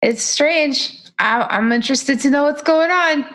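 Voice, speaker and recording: Female podcast co-host, playful deadpan joker, slightly higher voice. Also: unhurried. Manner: playful, teasing deadpan jokes. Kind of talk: casual gaming podcast chat.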